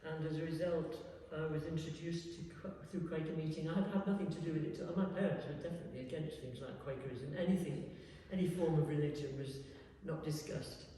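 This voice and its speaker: An older woman with a Lancashire accent, telling her life story thoughtfully in an interview, pausing and restarting as she recalls. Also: sits low, fairly even intonation, warm tone, slight background noise. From England, Lancashire. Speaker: interviewee, textile artist. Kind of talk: reflective personal storytelling. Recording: roomy echoey hall, distant mic.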